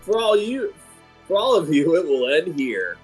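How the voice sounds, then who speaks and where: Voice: mid-range voice, sing-song, measured pace, husky, soft background music. A performer voicing a gleeful, taunting theatrical villain in an amateur comedic game fandub. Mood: gleeful, grandiose. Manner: mock-cheerful, grandiose, mocking.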